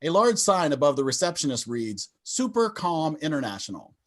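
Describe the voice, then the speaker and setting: A man's voice: on the high side, sing-song, normal speed, fairly bright tone. A man reading scripted stage directions as narrator of a table read over a video call.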